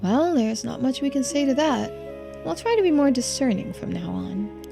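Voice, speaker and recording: A woman voicing a poised, unflappable female investigator in a video game, polite and gently needling in measured, formal phrases. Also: high-pitched, very animated, vocal fry, music in the background, exaggerated voice. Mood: politely yielding. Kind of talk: game character voiced in a playthrough.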